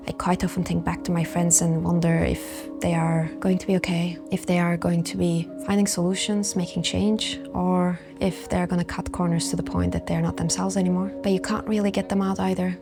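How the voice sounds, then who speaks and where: Reflective young woman over soft music. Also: on the low side, vocal fry. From Turkey. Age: 20s. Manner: thoughtful, candid, measured. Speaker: documentary interviewee, painter. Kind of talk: reflective personal interview.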